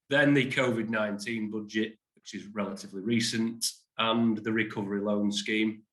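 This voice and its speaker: A man with a lowish, flat voice opens a business webinar in a self-deprecating, hesitant way, full of ums and restarts. He sounds like he is in a roomy, echoey space on a video call. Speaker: insolvency practitioner presenting a webinar.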